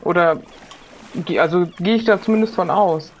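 A woman's voice: lowish voice, moderate pace, a little hiss or hum. A woman playing an improvised role-playing game in casual chat, thinking aloud hesitantly.

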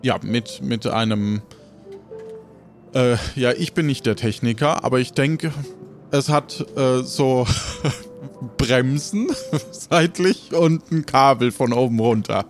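A man with a medium-pitched voice guides an improvised adventure game in a casual tone. He feels his way through the descriptions, hesitating as he looks for words, over faint background music. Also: slow pace. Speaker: game master of an improvised audio play. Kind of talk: improvised narration and casual role-play.

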